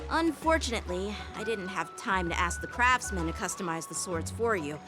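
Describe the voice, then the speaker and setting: A woman's voice: medium pitch, close to an everyday voice. An actress voicing an earnest, bashful teenage boy swordsman in a game, speaking politely and a little formally. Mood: sincere. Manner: polite, formal, slightly halting. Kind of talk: game cutscene acting. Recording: prominent music behind the voice.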